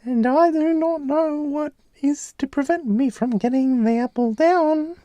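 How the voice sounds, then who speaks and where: Squeaky, cocky young fairytale prince. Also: male voice, measured pace, no room sound, dull audio. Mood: determined. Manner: loud, brash falsetto exclaiming.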